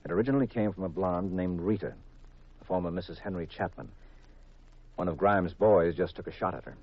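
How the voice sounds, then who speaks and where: Seeking a hard-boiled gumshoe: very deep, deadpan, vintage muffled radio sound with music. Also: male voice, brisk, husky. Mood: matter-of-fact. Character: hard-boiled male private detective, cool, wry, narrating his case. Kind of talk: 1940s-50s radio mystery acting, first-person narration.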